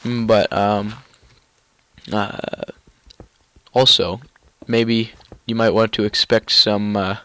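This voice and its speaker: A man's voice: lowish voice, little pitch movement, slow, a bit bright, no room sound. A young man with a stuffy, nasal cold, rambling casually through gameplay commentary with lots of ums and restarts. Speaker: gaming YouTuber.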